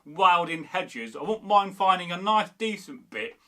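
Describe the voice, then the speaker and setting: A man's voice: high voice, expressive, unhurried, bright, forceful tone. A man from Derby, chatting loosely as a history channel host, reading viewer comments and rambling with lots of fillers. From Derby, England. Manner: rambling, meandering, many fillers and restarts.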